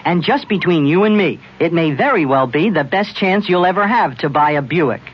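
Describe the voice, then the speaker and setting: An actor playing a confident, confiding car pitchman in a conversational radio ad. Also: animated, normal speed, breathy edge. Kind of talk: scripted comic radio ad dialogue. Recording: dull 1970s radio broadcast.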